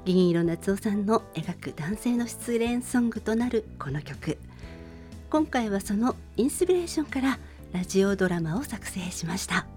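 A middle-aged woman hosting a radio show, talking in a soft-spoken way as she introduces a song. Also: medium pitch, animated, normal speed, faint music behind.

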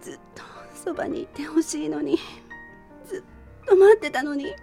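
A woman's voice: sits high, normal speed, creaky, warm tone, faint music behind, voice pushed up. A woman playing a lovelorn, proud woman who clings to her lover in an amateur romantic radio drama. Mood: pleading, tearful. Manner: wistful, putting on a brave front.

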